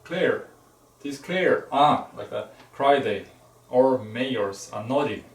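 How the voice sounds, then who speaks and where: Male amateur reader, slow and stumbling through old verse in a roomy, slightly distant recording. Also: mid-range voice, animated. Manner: halting, checking unfamiliar words. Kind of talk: reading old verse aloud. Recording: echoey, distant microphone.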